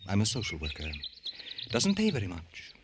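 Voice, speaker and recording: A man's voice: fairly low pitch, wildly swooping pitch, normal speed. A man playing a bitter, cultured doctor in an old radio drama, speaking in a precise, sardonic, theatrical way. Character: bitter, cultured male doctor, resentful brother of a rich man. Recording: old radio recording with faint noise.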